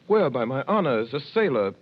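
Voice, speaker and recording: An earnest young sailor who answers his questioner politely, then grows more and more alarmed. His voice sits at an ordinary pitch and comes through a muffled, dull old radio broadcast. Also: sing-song, fairly quick. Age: young adult. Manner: earnest, pleading, rising in alarm. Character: young male sailor prisoner, earnest and bewildered. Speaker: lead actor and narrator. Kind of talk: 1930s radio drama acting.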